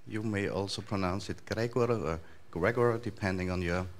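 A man in his thirties with an Austrian accent, giving a relaxed, loosely structured talk to a conference audience in a large lecture hall. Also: lowish voice, normal speed. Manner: relaxed, thinking aloud with frequent fillers and restarts. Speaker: conference speaker.

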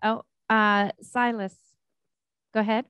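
A woman's voice: fairly high pitch, level tone, takes it slowly, fairly bright tone, smooth, no echo. A woman moderating a question session, polite and procedural. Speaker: event moderator. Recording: dull, dry lo-fi audio.

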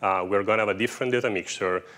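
A man's voice: lowish voice, fairly even intonation, very fast, a bit bright. A man in his thirties co-presenting as an AI researcher, explaining technical results on stage with a lapel mic. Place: indoor stage in a brick-walled event room before an audience.

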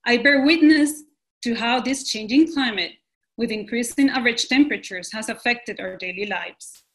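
A woman, giving an earnest, measured prepared presentation as a pediatrician over a video call from a home room. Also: on the high side, fairly bright tone, rough recording. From Puerto Rico. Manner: earnest and measured, reading a script.

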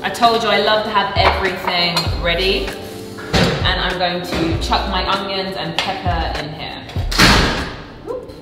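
Young woman, low-pitched, chatty cooking demo; roomy kitchen, music under. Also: steady tone, a little slow. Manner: chatty, playful, laughs often. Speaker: co-host of a cooking video. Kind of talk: casual cooking demonstration. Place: large indoor kitchen studio. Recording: echoey room with music behind.

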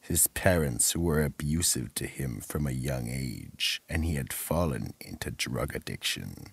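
Extremely deep, gravelly male mystery narrator. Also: lively intonation, no room sound. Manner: ominous, deliberate, dramatic. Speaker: narrator and horror anthology host. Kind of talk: scripted spooky radio mystery narration.

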